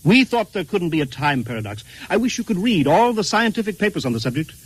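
Husky, anguished self-doubting man. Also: high voice, big pitch swings, fast talker, heavily acted, rough recording. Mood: agitated, guilty. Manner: earnest, pleading, agitated. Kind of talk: 1950s radio drama acting.

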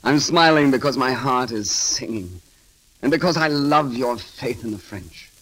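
An actor playing a witty, bold and romantic grand constable in an eloquent, theatrical 1930s radio style. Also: male voice, mid-range voice, expressive, unhurried, fairly bright tone, poor audio. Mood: tender, teasing.

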